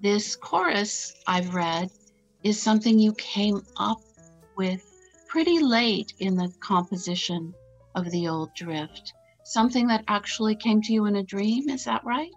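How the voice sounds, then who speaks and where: Gracious woman interviewer, slow-paced, dull lo-fi audio. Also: medium pitch, faint music behind. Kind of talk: interview questions. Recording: dull lo-fi sound.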